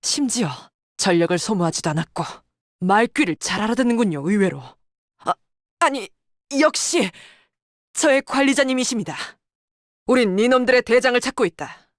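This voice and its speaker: A woman's voice: a little high, moderate pace, no room sound, studio quality, natural voice. A woman voicing a proud, stern female military tactician in a game, with clipped, formal, commanding delivery. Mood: formally deferential. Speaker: game character voice. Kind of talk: dramatic scripted game dialogue. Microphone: close, dry studio microphone.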